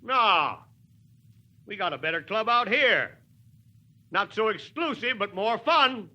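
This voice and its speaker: A man's voice: high voice, lively intonation, slow, sharp tone, highs cut off, heavily acted. A man playing a plainspoken, stubborn American small-town mayor with folksy, wry oratory, in 1940s radio drama style. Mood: wry, challenging. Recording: old 1940s radio broadcast.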